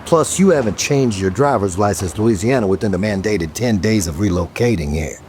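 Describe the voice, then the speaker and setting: An actor playing a weary, gruff police detective in a horror audio drama, with a wry, guarded, commanding manner. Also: male voice, fairly low pitch, animated, fairly quick, darkish tone, slight background noise, natural voice. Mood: wry, needling.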